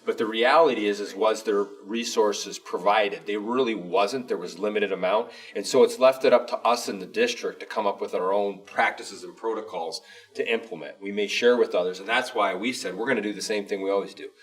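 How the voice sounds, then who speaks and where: Man, very low pitch, superintendent on a panel, emphatic rambling explanations, slightly off-mic. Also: brisk, recorded from a distance. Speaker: school superintendent co-hosting a district show. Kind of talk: informal panel discussion.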